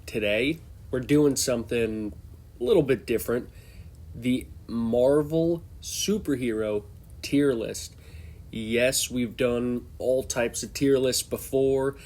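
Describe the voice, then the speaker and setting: Slow, congested young man, casual. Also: mid-range voice, steady tone, darkish tone. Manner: loose, off-the-cuff, thinking aloud. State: congested from a cold. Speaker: host. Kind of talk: casual tier-list commentary.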